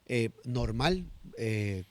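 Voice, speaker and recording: A man's voice: medium pitch, expressive, very slow, a little mellow. A man with a Puerto Rican Spanish accent interviewing casually on a podcast, often repeating words as he forms his sentences. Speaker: guest interviewer.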